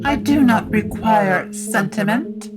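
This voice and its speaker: A woman playing a cold, patient ghostly widow in a supernatural audio drama, with a terse, formal, unhurried manner. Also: a little high, over loud music. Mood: cold, dismissive.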